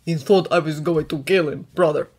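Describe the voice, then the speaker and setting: A woman's voice: low voice, normal speed, darkish tone, slightly rough, voice pushed down. A woman voicing a giant male dragon in an audio story, proud and emotional, with grand formal speech. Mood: dry, disapproving.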